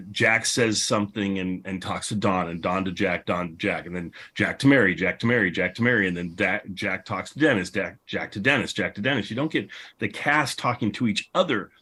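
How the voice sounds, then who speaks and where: A man's voice: lowish voice, pushed and bright, breathy edge. A man speaking as a podcast host in an unscripted panel discussion, rambling and enthusiastic as he repeats himself.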